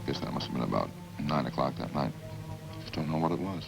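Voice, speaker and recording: An actor in a 1950s radio crime drama playing a chatty killer who confesses in a casual, folksy, matter-of-fact way. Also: male voice, a bass voice, lively intonation, quick pace, gravelly, over music, full character voice. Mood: uneasy, explaining. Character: chatty, hungry male killer calmly confessing over supper. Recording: hissy old-time radio recording.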